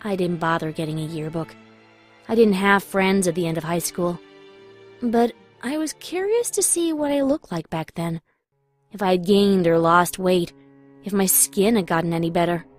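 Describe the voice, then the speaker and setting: A woman's voice: on the low side, normal speed, soft background music. A young woman reading dramatic scripted first-person narration in an emotive, confessional manner.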